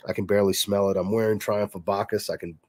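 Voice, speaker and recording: A man's voice: on the low side, fast, no echo. A man who is congested and stuffy, casually answering chat questions as a fragrance reviewer on a livestream. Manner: relaxed, reads chat questions aloud and answers conversationally.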